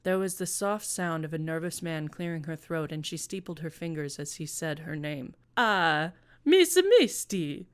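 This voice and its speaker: A woman's voice: deep voice, flat delivery, moderate pace, hardly any pauses, smooth. A woman presenting and narrating a comedic fan-written story in a casual style. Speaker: presenter reading own fan fiction.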